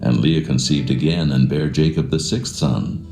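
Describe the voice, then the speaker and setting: A man with a gravelly, mellow voice narrating scripture in a solemn, measured, stately way. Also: very deep voice, fairly even intonation. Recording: roomy echo, faint music behind. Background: faint plucked guitar music.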